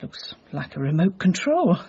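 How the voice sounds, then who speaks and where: A woman's voice: fairly low pitch, sing-song, moderate pace, gravelly, mellow. An actress playing an older widowed mother, anxious and down-to-earth, in naturalistic radio drama dialogue. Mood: practical, dismissive. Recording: muffled, faint background noise.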